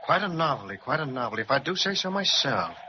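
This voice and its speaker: Guy doing a rambling snowman, keeps repeating himself, lively up-down voice, dull old radio sound. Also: mid-range voice, quick pace, a little hiss or hum, lightly acted. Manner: pompous, repeats himself, drifts off. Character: jolly snowman directing traffic, rambling and forgetful. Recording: muffled 1930s radio recording.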